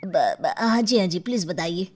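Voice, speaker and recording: A woman's voice: on the low side, expressive, moderate pace, a little mellow, soft background music, pitched below the performer's own voice, natural voice. A woman voicing a flustered, put-upon young husband in a cartoon story, in an exasperated, colloquial manner. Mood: uneasy. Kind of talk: cartoon story character acting.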